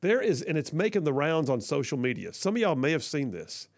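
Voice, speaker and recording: A man from with a gravelly, fry-laced voice hosts talk radio in a folksy, rambling way. His voice sits at an average pitch and sounds close and dry in the studio, with no room around it. He restarts sentences often and fills gaps with "uh" and "um.". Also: sing-song, fairly quick, no echo. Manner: folksy and chatty, frequent fillers and restarts. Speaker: radio talk show host. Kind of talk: talk radio, reading listener messages and ad reads. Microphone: studio microphone.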